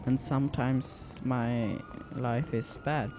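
A man's voice: medium pitch, steady tone, slow pace, background noise. A young man from Sweden with a Swedish accent, rambling through casual gameplay commentary with many fillers and restarts. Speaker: gaming YouTuber.

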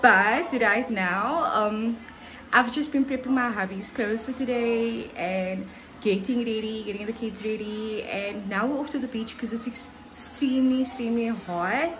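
A woman's voice: fairly high pitch, unhurried, fairly bright tone, a little hiss or hum, low-quality recording. A young adult woman with a South African accent, chatting informally as she vlogs from a room at home. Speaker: vlogger and mother. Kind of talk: casual vlog chat. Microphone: phone at arm's length.

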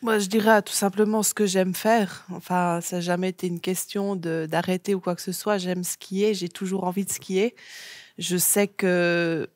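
Une jeune femme invitée sur un plateau de télévision, qui répond simplement et de façon détendue aux questions, avec quelques hésitations. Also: female voice, fairly low pitch, moderate pace, dry recording, clean studio sound. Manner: relaxed, short plain answers with fillers. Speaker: guest athlete. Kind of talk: casual TV interview answers. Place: TV studio set.

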